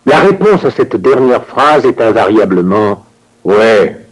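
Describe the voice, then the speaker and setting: A man reading scripted dialogue for a language course with an expressive, theatrical delivery. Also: lowish voice, slow, breathy edge, low-quality recording.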